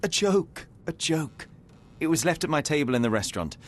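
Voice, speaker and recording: Sherlock Holmes, cool young detective. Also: male voice, on the high side, sing-song, moderate pace. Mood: dry. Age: young adult. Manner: dry, precise, dismissive. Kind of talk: video game cutscene voice acting.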